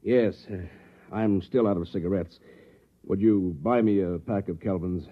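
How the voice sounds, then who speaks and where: An actor playing an anxious, suspicious husband who sounds edgy and incredulous, in an old-time radio drama style. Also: male voice, low voice, a little slow. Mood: weary, guarded. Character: anxious, suspicious office husband growing alarmed at reality. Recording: muffled, narrow-band vintage radio recording.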